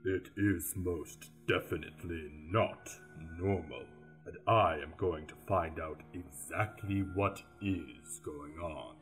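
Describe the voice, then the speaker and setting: A grave, formal reaper acted by a man speaks slowly in a very deep voice. He is stern yet courteous, a radio play Death. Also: fairly bright tone, soft background music. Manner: formal, measured, deliberate.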